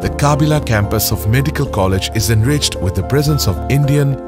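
A man's voice: mid-range voice, brisk. A man reading a scripted promotional voice-over for a college. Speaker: promotional narrator. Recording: voice-over over loud music.

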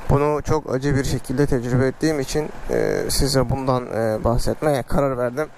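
A man telling a casual vlog story in a halting, drawn-out way, speaking into a helmet microphone. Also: medium pitch, fairly even intonation, darkish tone, a little hiss or hum. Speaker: motorcycle vlogger. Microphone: external microphone inside a helmet.

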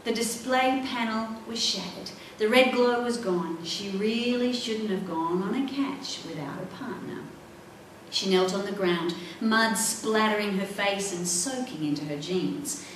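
A middle-aged woman reading a children's adventure novel aloud in an expressive, dramatic way. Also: mid-range voice, a little slow. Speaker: author reading own book. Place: indoor library room, seated at a table. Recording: echoey room, distant mic, faint noise.

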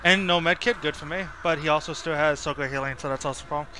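A male game streamer with a bright, slightly high voice talks casually through his play. He rambles and thinks aloud, often self-critical, over faint game sounds. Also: fast, a little hiss or hum, low-quality recording. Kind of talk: casual live gameplay commentary.

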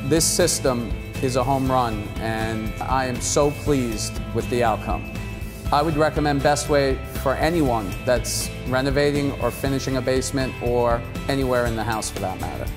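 A man's voice: mid-range voice, normal speed. A man in his forties giving an earnest customer testimonial in a living room. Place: living room with wooden floor. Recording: reverberant room with music behind. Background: plucked guitar music.

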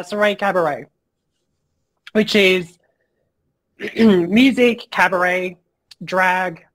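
A man guesting on a business interview, talking frankly and casually with many fillers and the odd swear word, his voice going a little hoarse. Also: sits high, fairly bright tone, rough recording. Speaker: guest entrepreneur.

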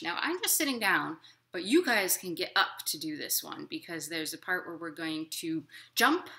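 A woman in her thirties hosting a storytime for young children at home, expressive and encouraging in simple words. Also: deep voice. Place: living room at home.

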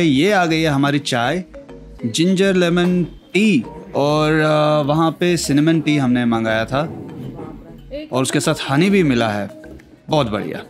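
Young male travel vlogger, casual and chatty with lots of fillers, handheld camera sound, music bed under the voice. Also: a little high. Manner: relaxed, chatty, frequent fillers, mixes English phrases. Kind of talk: casual travel vlog narration. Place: outdoors at hill viewpoints and inside restaurants.

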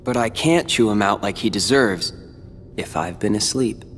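A man's voice: on the low side, moderate pace, big-room sound, slight background noise, lightly acted. A young American man playing a brooding, stubborn teenage boy warrior in a video game cutscene, with a terse delivery. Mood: guarded, resolute.

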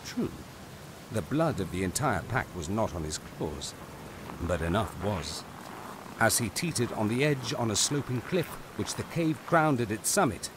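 A man narrating a scripted audio drama in a grave, deliberate, dramatic way. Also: fairly low pitch, background noise.

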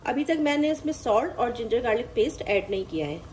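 A woman's voice: fairly high pitch, animated, fast talker, a little mellow, a little hiss or hum, rough recording. A woman hosting a cooking channel, explaining each recipe step plainly in a friendly voice-over. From Pakistan. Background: frying and sizzling.

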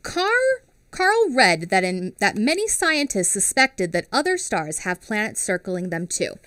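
A woman in her thirties reading a picture book aloud to children on a headset mic, pausing to explain and ask questions. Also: on the high side, no room sound, clean studio sound. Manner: expressive, asks children questions. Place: small home studio with classroom backdrop.

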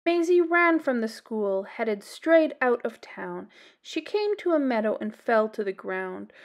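Female storyteller for children's books, higher-pitched, lively and deliberate. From Canada. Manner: animated, deliberate storybook delivery. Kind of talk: expressive picture-book read-aloud.

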